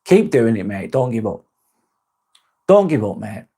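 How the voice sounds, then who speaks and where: Man, mid-pitched, rambling live-stream host, laid-back, swears casually. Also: measured pace, breathy edge. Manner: relaxed, rambling, frequent restarts and swearing. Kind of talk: casual live chat reading comments.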